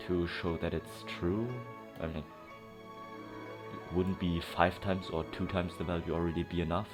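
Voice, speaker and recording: Male gaming streamer: very low voice, informal and hesitant, commentating over clear game music. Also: little pitch movement, normal speed. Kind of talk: casual game playthrough commentary.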